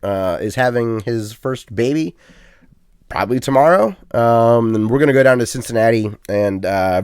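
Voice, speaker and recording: A man's voice: on the low side, darkish tone, no echo, studio quality. A man co-hosting a casual gaming podcast, rambling and chatty with plenty of ums and uhs. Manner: self-deprecating, chatty. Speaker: podcast co-host.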